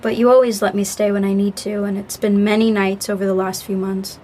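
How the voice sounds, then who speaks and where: An actress plays a young woman in an audio drama who talks softly, with a dry, self-mocking edge. Her pitch sits at a middling height. A faint hiss of noise runs under her lines. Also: little pitch movement, brisk, natural voice. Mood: subdued. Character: Zoe, young woman working through grief.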